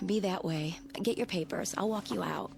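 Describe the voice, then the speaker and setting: A woman's voice: on the low side, moderate pace, gravelly, music in the background. An actress playing a breezy, pushy woman teacher nudging her friend in a radio drama, casual and wry in delivery. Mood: wry, coaxing.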